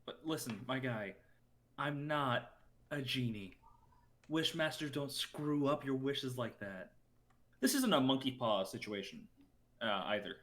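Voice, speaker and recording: A man's voice: medium pitch, measured pace, a bit bright, close to an everyday voice. A man playing a brash, impatient wish-granting spirit with slangy salesman's patter in a comedic reading. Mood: casual, insistent. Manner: slangy, exasperated dude-speak.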